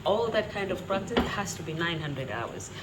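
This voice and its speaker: Kenyan lady vlogging from home, lowish voice, laughs a lot, noisy background. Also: big pitch swings, moderate pace. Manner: chatty, laughs often. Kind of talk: casual talk to camera. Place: quiet home.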